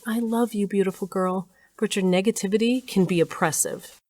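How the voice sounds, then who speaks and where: A woman's voice: mid-range voice, steady tone, normal speed, dark tone, a little hiss or hum, natural voice. An actress playing a sardonic, weary older woman scientist who narrates her own story in a dry way. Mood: wry, exasperated. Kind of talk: first-person audio drama narration.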